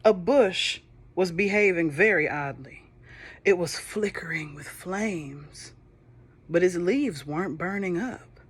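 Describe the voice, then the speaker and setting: Lady reading a kids bible story real slow, gentle and chatty with the kids. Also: lowish voice, husky. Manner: gentle, chatty, affectionate asides to children. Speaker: storybook reader for children.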